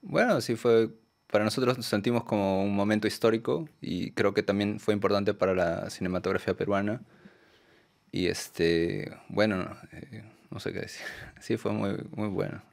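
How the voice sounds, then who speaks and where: Peruvian guy, lowish voice, talks soft and hesitant, lots of "no?" and "este". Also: normal speed, gravelly. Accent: Peruvian Spanish. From Peru. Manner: soft-spoken, hesitant, many fillers and tag questions. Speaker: documentary filmmaker, guest. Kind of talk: radio interview answers.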